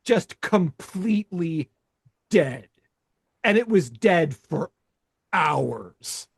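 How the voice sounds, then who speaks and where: A man co-hosting a podcast, telling a story in casual chat, laughing often and talking haltingly. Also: on the high side, big pitch swings, gravelly, husky, no room sound, clean studio sound. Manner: laughs while telling stories, halting and profane. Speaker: podcast co-host.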